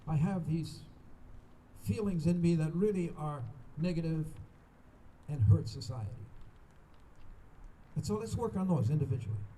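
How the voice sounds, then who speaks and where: Man with very dark, mellow voice. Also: on the high side, animated, measured pace, long pauses, a little hiss or hum, poor audio. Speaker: ceremony host giving closing remarks. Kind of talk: informal closing address with moral exhortation.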